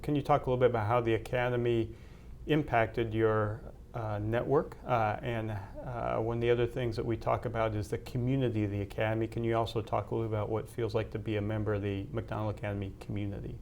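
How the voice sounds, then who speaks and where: A man's voice: on the low side, level tone, fast talker, a little mellow, slight background noise. A man conducting a formal interview, asking polite questions with frequent hesitations. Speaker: interviewer, university leader.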